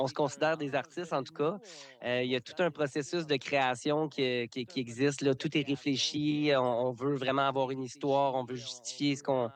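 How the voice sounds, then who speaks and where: A man, a circus performer talking casually in a radio interview with many fillers and restarts. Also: mid-range voice. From Canada. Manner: relaxed, repeats words, many fillers.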